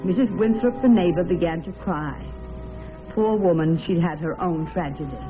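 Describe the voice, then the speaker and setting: A woman's voice: on the low side, normal speed. A woman giving scripted dramatic narration on a tinny vintage radio recording, with music behind her.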